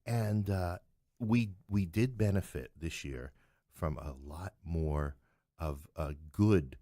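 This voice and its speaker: A man's voice: low voice, slow, dark tone, dry recording, studio quality. A middle-aged man from New York City talking as a playwright guest in a conversational interview, with wry joking asides.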